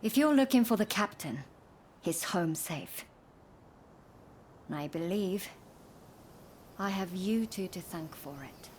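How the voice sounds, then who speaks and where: An actress playing a gentle, grateful woman in a samurai-era video game cutscene. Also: animated, slow, pauses often. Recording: rumbling background noise.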